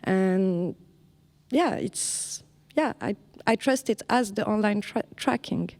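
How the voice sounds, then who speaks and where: A woman artist from Morocco talks about her project in a museum panel discussion. Her speech is halting, with frequent restarts as she searches for words, at an ordinary middle pitch. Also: a little mellow. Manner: hesitant, searching for words, laughs lightly. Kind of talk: panel talk.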